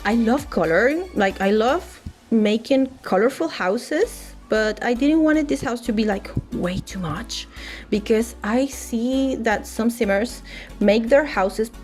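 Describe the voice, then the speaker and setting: A woman's voice: a little high, lively intonation, slow pace, a little mellow. A young woman whose first language is Spanish, chatting casually as a gaming YouTuber with frequent restarts and filler words. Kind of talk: casual voice-over chat. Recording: faint music underneath.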